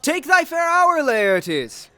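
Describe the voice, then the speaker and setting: A man's voice: very high-pitched, big pitch swings, slow, pushed and bright, echoey room, heavily acted. A man playing a smug, jovial king addressing his court in a theatrical Shakespearean audio drama. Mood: jovial, grand. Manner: theatrical, declamatory.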